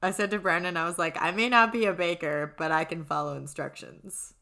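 A woman co-hosting a podcast in casual, excitable, chatty banter. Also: lowish voice, brisk, creaky. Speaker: podcast co-host.

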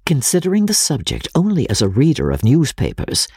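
A man narrates classic fiction in a measured, dramatic style. His medium-pitched voice has a rough, breathy grain and moves expressively up and down. The sound is close and dry, suited to a solemn literary reading. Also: huge pitch swings, a little mellow, dry recording. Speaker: audiobook narrator.

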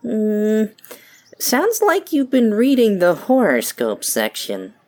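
A woman's voice: mid-range voice, huge pitch swings, takes it slowly, a little mellow. A woman playing a weary, guarded, sceptical young woman in an audio drama, with a dry, curt, questioning manner.

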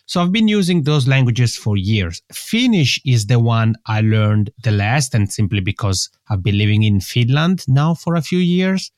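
Young Swiss Italian man, native Italian speaker, friendly podcast guest, medium pitch, dry close recording. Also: expressive, moderate pace, few pauses, a little mellow, no room sound. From Italian-speaking Switzerland. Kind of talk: conversational interview answers.

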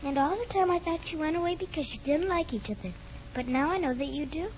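A woman's voice: sits high, fast, darkish tone, close to an everyday voice. A woman playing an earnest, trusting little girl, childlike and pleading, in a 1950s radio drama. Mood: trusting, hopeful. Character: earnest, trusting little girl who adores her daddy. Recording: muffled, noisy old radio recording.

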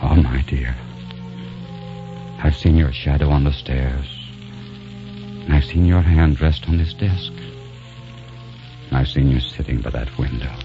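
An actor playing Peter, a grieving young man who speaks in a hushed, tender murmur. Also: rumbling low, exaggerated voice. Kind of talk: 1940s radio drama acting. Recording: muffled old radio recording with music.

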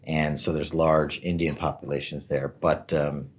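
A man's voice: very deep voice, little pitch movement, moderate pace, a little hiss or hum. A man in his forties, a guest in an interview, telling his career story in a candid, self-deprecating way and laughing at how his plans turned out. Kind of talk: relaxed conversational storytelling.

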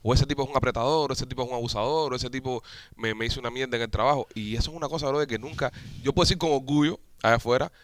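A man's voice: medium pitch, racing. A man hosting a casual chat, joking and using loose slang. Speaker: podcast host.

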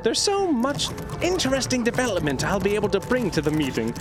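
An actor playing an earnest, obsessive scientist dictating research notes in formal, grandiose language for an audio drama. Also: male voice, sits high, wildly swooping pitch, brisk, music in the background. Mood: brisk, detached. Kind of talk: audio drama monologue as journal narration.

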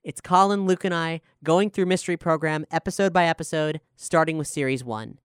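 A man's voice: a little high, smooth, no echo, clean studio sound. A man hosting a podcast, reading out announcements and promotional news to listeners. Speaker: podcast host and showrunner. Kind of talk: scripted announcement, promotional talk.

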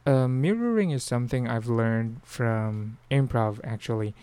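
A man's voice: medium pitch, unhurried, mellow, clean tone. A Filipino man with a Filipino accent, hosting a podcast in casual solo talk, rambling with frequent restarts and fillers. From Philippines. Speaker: podcast host.

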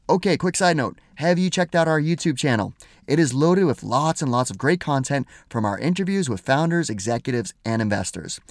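A man in his forties hosting a podcast, reading the intro and then asking long, halting questions full of fillers. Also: on the high side, no echo, studio quality. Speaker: podcast host.

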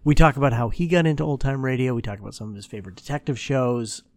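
A man's voice: medium pitch, fast talker, dry recording. A man hosting a podcast, talking casually and informally as he introduces and interviews.

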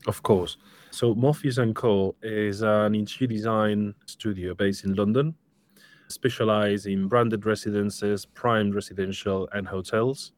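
A man from Spain in his thirties, speaking with a Spanish accent as a live-stream interview guest, relaxed and lightly joking. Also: on the low side, measured pace, no room sound. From Spain, Seville. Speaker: guest, interior design studio director.

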